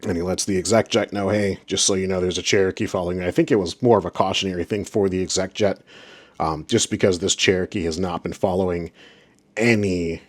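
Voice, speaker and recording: A man's voice: low-pitched, fairly even intonation, a little fast. A man giving informal commentary as an aviation audio reviewer, with an exasperated, sarcastic way of ranting. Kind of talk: informal commentary on radio recordings.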